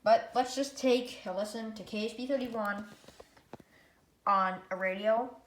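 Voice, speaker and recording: A woman giving a casual, unscripted gadget demonstration in a rambling, halting way, often correcting herself. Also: a little high. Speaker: product reviewer. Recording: echoey room, loud background noise.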